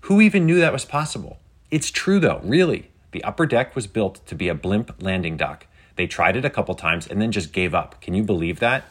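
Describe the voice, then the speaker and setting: A man voicing an excitable, geeky schoolgirl who chats brightly to livestream viewers in a children's story. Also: lowish voice, a little fast, husky. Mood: eager explaining. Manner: bubbly, chatty, addressing viewers. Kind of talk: scripted children's audio story.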